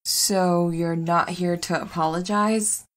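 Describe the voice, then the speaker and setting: A woman's voice: on the low side, steady tone, slow pace, background noise. A woman playing a sensible, caring superheroine wife with a practical, conversational manner in a comedy audio drama. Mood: exasperated.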